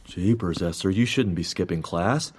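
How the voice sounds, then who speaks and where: An actor narrating as an earnest young student, telling his story in an earnest, wry and lively way. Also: mid-range voice, moderate pace, no echo, close to an everyday voice. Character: earnest young Japanese American male student. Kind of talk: one-man stage play narration.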